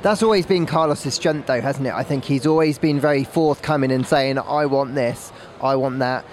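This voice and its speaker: A man's voice: medium pitch, normal speed. A man giving conversational motor racing analysis as a pundit, speaking outdoors in a paddock. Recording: faint outdoor background noise.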